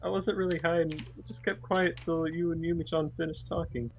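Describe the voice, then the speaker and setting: A man's voice: on the high side, fairly even intonation, brisk, vocal fry, faint music behind, low-quality recording. A man voicing a young schoolgirl, casual and a bit defensive, reading visual novel lines aloud. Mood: hesitant, casual.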